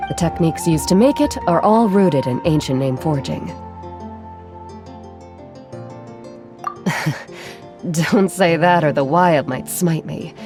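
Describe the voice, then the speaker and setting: A woman playing a gentle, caring village craftswoman in a video game, earnest, modest and apologetic in manner. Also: low-pitched, expressive, unhurried. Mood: modest explaining. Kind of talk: animated game voice acting. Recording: game music behind voice.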